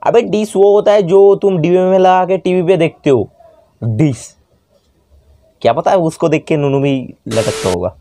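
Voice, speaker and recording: Brash young man, slightly high voice. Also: normal speed, mellow. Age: young adult. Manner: brash, taunting, foul-mouthed. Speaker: YouTube roast video host. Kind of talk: mocking roast commentary to camera.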